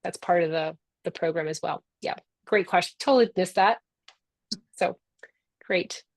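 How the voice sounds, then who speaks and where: A woman's voice: lowish voice, moderate pace, dry recording. A middle-aged woman whose first language is Spanish, the founder hosting a live online orientation in an upbeat, affectionate, chatty way.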